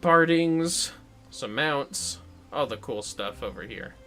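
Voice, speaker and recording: A man's voice: mid-range voice, lively intonation, slow, fairly bright tone, soft background music. A man hosting a video game livestream with casual, playful chatter and muttered asides to the game. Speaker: livestream host playing an online video game. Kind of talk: casual gaming livestream chat.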